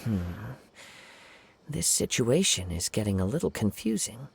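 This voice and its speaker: A man voicing a calm, courteous young wandering swordsman in a game, speaking in a polite, reassuring way. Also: mid-range voice, measured pace, gravelly, a little hiss or hum. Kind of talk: game cutscene voice acting.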